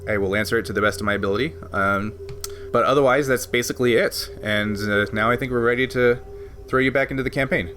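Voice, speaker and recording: A man's voice: medium pitch, fast talker, a bit bright, slight background noise. A man hosting a tabletop game podcast, explaining a rules system at length in a casual way, with frequent laughs. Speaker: game master and podcast host.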